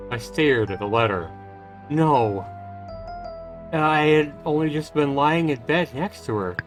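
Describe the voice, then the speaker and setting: A man hosting a livestream, reading a visual novel's first-person story aloud in an expressive, suspenseful way. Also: medium pitch. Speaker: livestream host. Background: game music.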